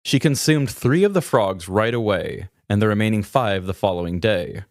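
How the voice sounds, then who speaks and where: Low-voiced man chatting on a podcast. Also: expressive, moderate pace, no room sound, clean studio sound. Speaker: podcast co-host. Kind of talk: casual comedic chat and reading an article aloud.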